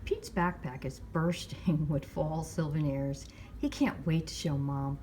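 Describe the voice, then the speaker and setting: A woman hosting storytime, reading a picture book aloud to young children with measured, expressive phrasing. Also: low voice, slight background noise. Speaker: library storytime host.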